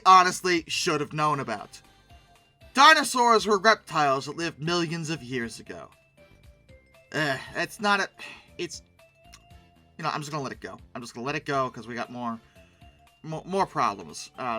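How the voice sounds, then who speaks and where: A host giving casual, humorous commentary on pictures, rambling through asides and breaking into animated, mock-outraged exclamations. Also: medium pitch.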